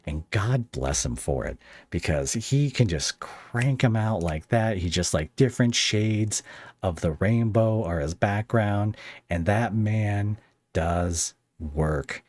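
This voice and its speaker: A man's voice: on the low side, expressive, slow pace, darkish tone. A man hosting a casual interview podcast, talking in a rambling, self-deprecating way.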